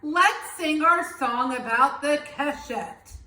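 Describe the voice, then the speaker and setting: A woman teacher reading a children's story aloud with expressive, dramatic phrasing and questions to young listeners. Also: high-pitched, slow, roomy sound, faint music behind, low-quality recording.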